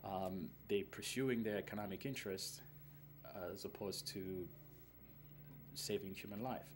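A man in his thirties from Rwanda, a filmmaker answering interview questions in a halting, earnest way with many fillers. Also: on the low side, slight background noise. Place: indoors, seated in front of a film poster.

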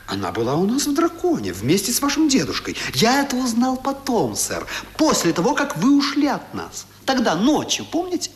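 Radio play servant: cheerful, eager, high-pitched, extremely lively intonation. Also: male voice, moderate pace, hardly any pauses, full character voice. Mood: respectful, chatty. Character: cheerful, eager young manservant, loyal and chirpy. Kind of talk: 1970s Soviet radio play acting.

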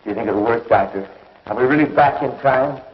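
An actor playing a young, commanding space hero in a 1950s TV sci-fi serial, giving curt, urgent orders. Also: male voice, mid-range voice, fairly quick, husky, close to an everyday voice. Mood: tense, grave. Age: young adult. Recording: dull, noisy 1950s TV soundtrack.

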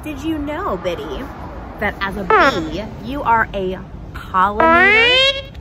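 A woman's voice: high voice, wildly swooping pitch, takes it slowly, very noisy. A woman in her thirties presenting a playful children's puppet show, talking in an exaggerated, sing-song, encouraging way as if to small children.

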